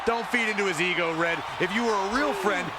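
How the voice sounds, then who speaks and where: A man playing an opinionated, excitable wrestling commentator in a video game, calling the action dramatically. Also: high voice, fairly quick, heavy background noise, natural voice.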